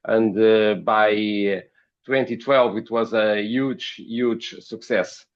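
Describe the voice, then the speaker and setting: A man from Portugal with a Portuguese accent, giving interview answers as a podcast guest in a halting, hesitant way, often repeating words. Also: fairly low pitch, fairly even intonation, lo-fi sound. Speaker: podcast guest, company founder.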